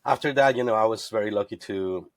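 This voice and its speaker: A man from Ecuador telling his career story as a podcast guest, earnest and warm, with many "you know"s. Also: on the low side, fast, no room sound. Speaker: guest, tequila brand representative. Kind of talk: casual podcast interview.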